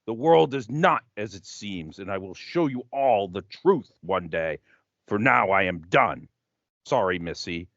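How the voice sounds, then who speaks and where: Man acting as paranoid conspiracy lecturer, lowish voice, pompous, ominous, dry sound, audio comedy. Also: animated, measured pace, fairly bright tone, no echo, lo-fi sound. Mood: ominous, indignant. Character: grandiose, paranoid conspiracy-theorist man with scholarly airs.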